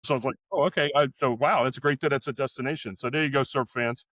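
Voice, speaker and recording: A man co-hosts, gushing with enthusiasm and often restarting his sentences. His voice sits at a middle pitch and sounds dry and close to the mic. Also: fairly even intonation, quick pace, a bit bright, dry recording. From United States. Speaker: podcast co-host. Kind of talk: casual interview chat.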